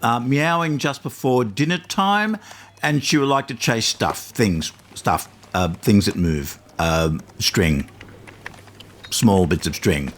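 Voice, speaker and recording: A man's voice: medium pitch, lively intonation, slow, fairly bright tone. A man playing a smug, bluffing phone astrologer in a comedy podcast sketch, with hesitant, improvised salesman patter. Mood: bluffing, smooth. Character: bluffing male phone astrologer, smugly mystical con man.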